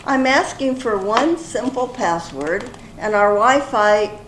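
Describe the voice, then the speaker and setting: A woman acting out an earnest older lady in a comic stage sketch, her voice swooping widely and delivered at a deliberate pace. The room rings around her, with amateur readers theater timing. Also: on the high side, animated, a bit bright. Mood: exasperated. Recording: echoey room, faint background noise.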